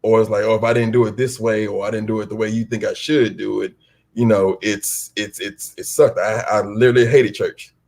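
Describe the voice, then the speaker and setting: A man with a fairly low, raspy-edged voice talks casually and candidly. He halts and repeats phrases to press his point, as a guest in an interview would. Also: fairly even intonation, fairly quick, darkish tone. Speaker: stage play cast guest.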